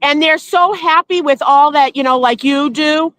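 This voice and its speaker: A woman's voice: high-pitched, normal speed, fairly bright tone, no room sound. A woman calling in to a casual live stream, speaking in an affectionate, heartfelt way. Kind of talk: casual chat.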